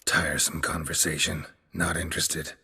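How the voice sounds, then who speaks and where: An actor playing a stoic, sardonic monster hunter in a video game, terse and deadpan with a gravelly voice. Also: male voice, rumbling low, normal speed, exaggerated voice. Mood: dry sarcasm. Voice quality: gravelly, very husky. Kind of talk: understated video game acting.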